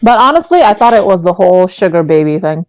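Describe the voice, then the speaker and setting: A woman's voice: lowish voice, big pitch swings, fast. A woman in a casual group chat, blunt and wisecracking. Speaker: podcast co-host.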